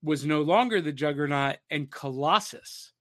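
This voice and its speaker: A man co-hosting a podcast in casual, nostalgic pop-culture chat, often pausing to search for his words. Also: a little high. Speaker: podcast co-host.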